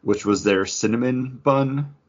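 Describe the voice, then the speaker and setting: A man's voice: mid-range voice, unhurried. A man chatting casually as a podcast co-host, reviewing craft beer. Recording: dull lo-fi audio.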